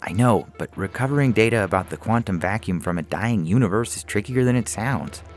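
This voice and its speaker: Man reading as defensive, soft-hearted alien technician. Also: fairly low pitch, expressive, brisk, voice pushed up. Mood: defensive, harried. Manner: explanatory, defensive. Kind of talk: science fiction story dialogue. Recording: music under the voice.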